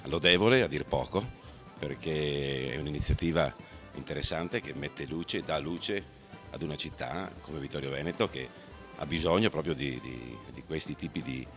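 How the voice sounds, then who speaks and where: A man giving an informal interview answer in a halting way, repeating words. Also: rumbling low. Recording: music playing behind the voice.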